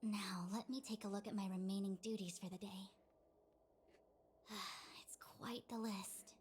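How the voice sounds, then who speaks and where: A woman playing a composed, dutiful master diviner in a video game, speaking in a formal, measured and precise way. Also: medium pitch, fairly even intonation, a little mellow, a little hiss or hum, lightly acted. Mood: weary, businesslike. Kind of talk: scripted video game dialogue.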